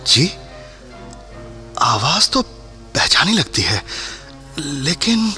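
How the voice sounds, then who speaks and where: A man's voice: on the high side, sing-song, very slow, sharp tone, soft background music, exaggerated voice. A man acting a principled freedom fighter in a Hindi radio play, formal, earnest and respectful. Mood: puzzled, concerned.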